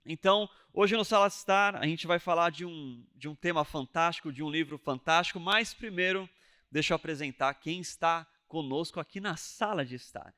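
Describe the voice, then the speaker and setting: A man's voice: on the high side, moderate pace, sharp tone, clean tone. A man hosting a church talk show, introducing guests with effusive enthusiasm. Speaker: host.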